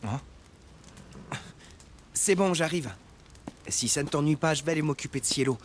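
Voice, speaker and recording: An actor playing a gentle, teasing teenage noble boy in an animated romance dub, speaking softly and attentively. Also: on the high side, normal speed, slight background noise, lightly acted. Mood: teasing, considerate.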